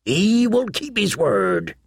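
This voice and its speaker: A man voicing a grave, plain-spoken low-born smuggler in an audiobook, sober and measured. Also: huge pitch swings, vocal fry, breathy edge, no echo, clean studio sound, heavily acted. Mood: firm, sober. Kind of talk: audiobook character voice.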